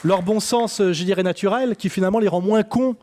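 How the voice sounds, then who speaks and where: Hesitant man with a high voice. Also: brisk, darkish tone, slight background noise. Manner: hesitant, repeats words mid-sentence. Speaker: political commentator. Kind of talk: casual spoken argument.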